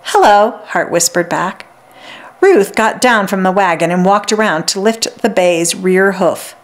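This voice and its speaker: A woman reading a children's novel aloud as narrator, with an expressive storybook delivery. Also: mid-range voice, a little slow.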